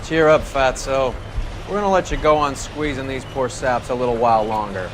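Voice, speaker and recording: An actor playing a cynical, wisecracking police detective in a video game, with a gruff, sardonic manner. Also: male voice, medium pitch, moderate pace, background noise, lightly acted. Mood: mocking. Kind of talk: video game drama acting.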